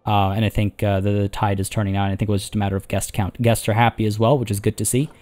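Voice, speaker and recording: A man with a hoarse, tiring voice, chatting casually as he plays a zoo-building game and rambling through his thoughts. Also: lowish voice, flat delivery, quick pace, darkish tone. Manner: rambling, chatty, many restarts and fillers. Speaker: let's play host. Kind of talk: casual gameplay commentary.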